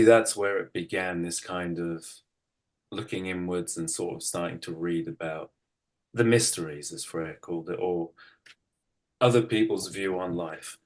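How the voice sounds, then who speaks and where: A middle-aged man with an English accent, telling his own story reflectively in a halting, thoughtful way, often circling back to rephrase. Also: sits low. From United Kingdom. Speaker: interview guest, writer. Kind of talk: reflective personal storytelling in conversation.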